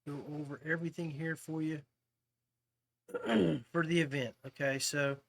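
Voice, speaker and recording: A man hosting a podcast, talking casually and a little haltingly as he walks viewers through details. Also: a little high, gravelly. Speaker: podcast host. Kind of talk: casual informational talk to viewers.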